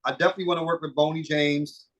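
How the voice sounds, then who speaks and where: Animated middle-aged man, fairly high voice. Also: very fast. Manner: animated, swears freely, many fillers and restarts. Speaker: guest singer and producer. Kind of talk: casual interview chat.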